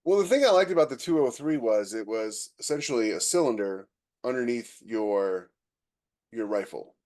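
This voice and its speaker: A man's voice: mid-range voice, expressive, normal speed, darkish tone. A man co-hosting a podcast in a casual, conversational way, freely giving his opinions. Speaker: podcast co-host.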